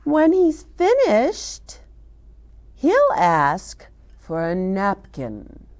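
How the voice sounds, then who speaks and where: A woman's voice: medium pitch, huge pitch swings, drawn-out words, darkish tone. A woman hosting children's storytime, reading a picture book aloud expressively with chatty asides to young listeners.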